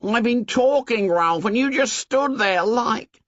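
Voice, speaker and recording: A man's voice: very high voice, lively intonation, slow pace, a bit bright, dry recording, dull audio, pitched above the performer's own voice, exaggerated voice. A man voicing a fussy, indignant boy who lectures the others in ungrammatical speech.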